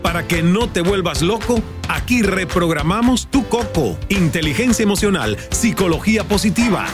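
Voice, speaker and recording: A man's voice: sits high, big pitch swings, moderate pace, music in the background. A man narrating a scripted show promo in a dramatic, declamatory manner.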